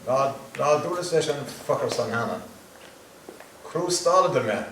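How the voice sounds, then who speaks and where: A man's voice: medium pitch, animated, measured pace, a bit bright, slight background noise. A man voicing a suspicious, cursing Irish fisherman who mutters sullenly, with an Irish accent. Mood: surly. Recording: echoey, distant from mic.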